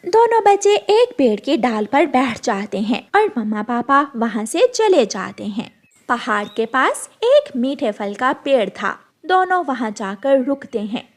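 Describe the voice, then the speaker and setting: A female storyteller with a high, lively voice. She narrates a scripted children's cartoon tale, with plenty of melodic movement. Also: a little slow.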